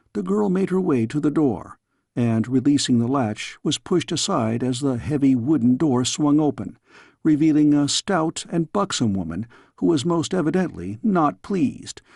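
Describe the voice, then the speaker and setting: A man reading a storybook as scripted audiobook narration, in a measured, expressive storytelling way. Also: mid-range voice, creaky, slightly rough, dry recording, studio quality. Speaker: audiobook reader.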